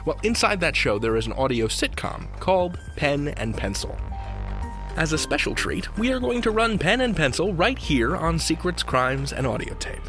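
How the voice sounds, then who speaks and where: An upbeat male podcast host delivers a scripted intro over clear music. His voice is on the high side and swings expressively, with a theatrical touch. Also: big pitch swings, normal speed, fairly bright tone.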